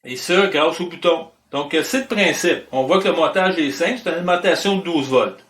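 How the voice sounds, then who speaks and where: A man's voice: on the high side, fairly quick, a bit bright. A man with a Quebec French accent giving an informal hands-on technical demonstration as an electronics instructor, explaining step by step and repeating key points. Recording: roomy echo, distant microphone.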